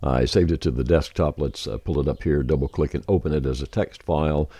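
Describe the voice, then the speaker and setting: A man presenting a casual, folksy software tutorial as a voice-over on a close microphone. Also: a bass voice, brisk, no echo. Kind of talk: casual screen-share software walkthrough.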